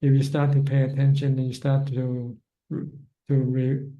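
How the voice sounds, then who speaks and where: A man's voice: mid-range voice, completely flat delivery, normal speed. A man guiding a caller in meditation over a video call, speaking plainly with many restarts. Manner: plain, repetitive guidance with restarts. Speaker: meditation teacher answering questions. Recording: dull lo-fi call audio.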